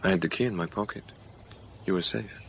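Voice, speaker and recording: An actor playing a commanding, brooding gentleman with a dark secret in a period radio drama, speaking tersely. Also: sits low, a little fast, creaky, a little hiss or hum. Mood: brooding, intimate.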